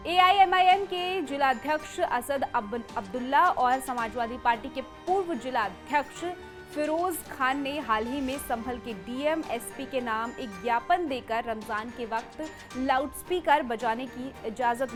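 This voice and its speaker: Lady doing a news report, really high voice, dramatic and punchy, bit of music behind. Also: measured pace. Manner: emphatic, dramatic delivery with rhetorical questions. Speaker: news presenter. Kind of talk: scripted news narration.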